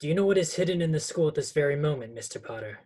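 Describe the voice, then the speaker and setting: Man playing husky, solemn centaur. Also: a little high, lively intonation, racing, dark tone. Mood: cryptic. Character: solemn male centaur, grave cryptic forest guardian. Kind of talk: amateur screenplay table read.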